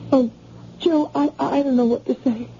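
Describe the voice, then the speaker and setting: A woman's voice: high voice, steady tone, normal speed, mellow, a little hiss or hum. A woman playing an anxious young wife who worries aloud for her husband's safety, on a dull old radio recording. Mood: worried. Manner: worried, pleading.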